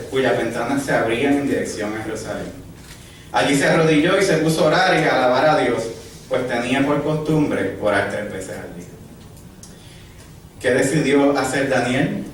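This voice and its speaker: A man's voice: mid-range voice, slight background noise. A man from Puerto Rico with a Puerto Rican accent, preaching a sermon and asking the congregation rhetorical questions. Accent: Puerto Rican Spanish. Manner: rhetorical questions, lively emphasis. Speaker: pastor. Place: church hall. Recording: echoey hall, distant mic, faint ambience.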